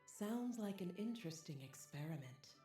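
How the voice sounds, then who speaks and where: A cool witch scholar from an anime game, played by a woman, with a lowish, dark-toned voice. She is curious and composed, speaking formally in a roomy echo. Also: lively intonation, moderate pace, lightly acted. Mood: intrigued. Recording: roomy echo, faint music.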